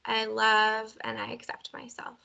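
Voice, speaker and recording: A woman leading a guided tapping session, speaking gently and encouragingly and setting out phrases for a partner to repeat. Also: on the high side, little pitch movement, a little slow, creaky, muffled recording. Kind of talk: guided emotional freedom technique session.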